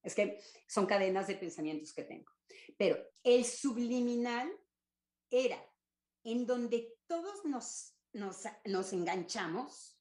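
A woman's voice: mid-range voice, a little mellow, echoey room. An older woman with a Mexican accent speaking as an academic guest on a discussion panel, rambling and searching for words with many restarts.

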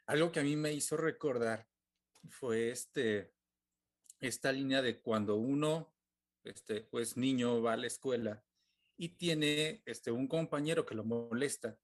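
A man's voice: medium pitch, unhurried. A man hosting a discussion panel, telling a story with frequent fillers.

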